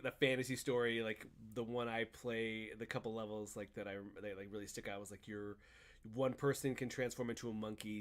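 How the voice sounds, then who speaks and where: A man co-hosting a casual chat, recapping a video game in a rambling, animated storytelling way. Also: on the low side. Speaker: podcast co-host.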